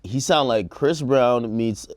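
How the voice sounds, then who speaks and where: A man's voice: medium pitch, takes it slowly, dry recording, studio quality. A man co-hosting a casual music discussion show, hedging and qualifying his opinions as he talks. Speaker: talk show co-host.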